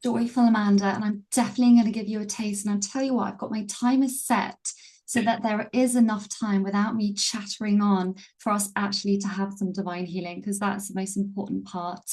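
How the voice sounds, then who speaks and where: A woman hosting a live online healing webinar, easygoing and gently encouraging, speaking over a video call. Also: mid-range voice, little pitch movement, fairly quick, rough recording. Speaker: webinar host and healing teacher.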